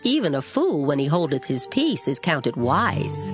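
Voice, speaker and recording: Lowish, expressive woman reading scripture over music. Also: a little slow. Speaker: scripture narrator. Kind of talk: dramatized King James Bible reading. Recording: music under the voice. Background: background music.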